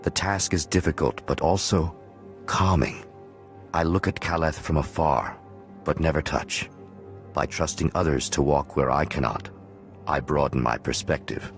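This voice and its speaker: An actor playing a solemn, penitent hermit watchman in a video game, speaking in a measured, formal, philosophical way. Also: a bass voice, soft background music. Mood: calm, grave. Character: solemn male hermit Jedi watchman, calm, penitent, cryptic.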